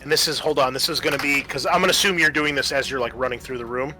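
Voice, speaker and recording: A man's voice: mid-range voice, quick pace, pushed and bright, soft background music. A man speaking casually as game master of a tabletop roleplaying game, swearing freely.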